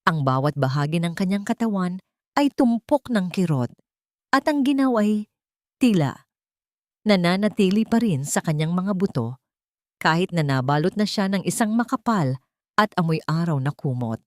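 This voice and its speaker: A woman narrating a scripted dramatic audio story in a measured, literary way. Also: on the low side, sing-song, no echo, studio quality.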